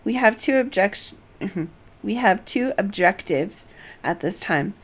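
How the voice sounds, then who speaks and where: A woman voicing a serious, businesslike strategist from a fantasy game, formal and explanatory. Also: mid-range voice.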